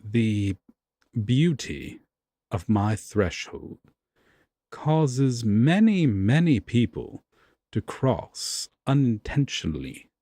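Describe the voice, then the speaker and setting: A man's voice: medium pitch, very animated, extremely slow, dark tone, no echo, clean studio sound, heavily acted. A man playing a haughty, possessive fae king in a fantasy audio roleplay, speaking formally and with theatrical deliberation. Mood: brooding.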